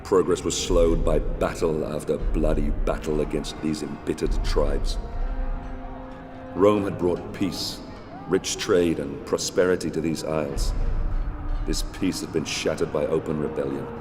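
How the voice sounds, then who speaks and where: An actor playing a grave Roman soldier, narrating war memories solemnly and weightily in a cinematic game. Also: male voice, extremely low pitch, fairly even intonation, measured pace. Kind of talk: scripted dramatic narration. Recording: long reverb, loud music behind.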